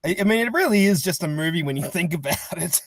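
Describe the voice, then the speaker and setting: A man's voice: a little high, animated, fast talker, a bit bright, dry recording, studio quality. A man chatting casually as an interview guest, talking in a rambling, chatty way. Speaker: interview guest, fan-dub creator. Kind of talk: casual chat.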